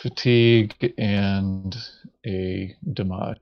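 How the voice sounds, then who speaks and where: A man playing a board game, chatting casually and thinking aloud in a halting way. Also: low voice, fairly even intonation, dull audio. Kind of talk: casual tabletop game chat.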